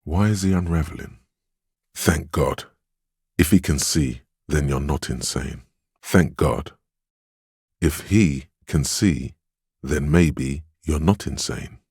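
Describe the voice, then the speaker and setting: An actor playing a stern, steadfast inner voice of willpower in a video game, giving measured, solemn counsel and urging composure. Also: male voice, very deep voice, darkish tone, breathy edge, no room sound, studio quality, exaggerated voice. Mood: grave, unsettled. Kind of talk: dramatic video game voice acting.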